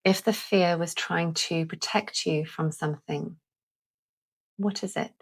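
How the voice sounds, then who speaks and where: A woman leading a calming guided visualisation in a gentle, deliberate manner with long pauses. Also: on the low side, vocal fry, breathy edge. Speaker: guided meditation host.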